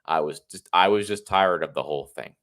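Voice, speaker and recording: A man's voice: sits low, fairly quick, a bit bright. A man in his thirties hosting a casual political livestream, congested and a bit tired, talking in a chatty, rambling, opinionated way. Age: 30s. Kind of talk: casual solo livestream monologue.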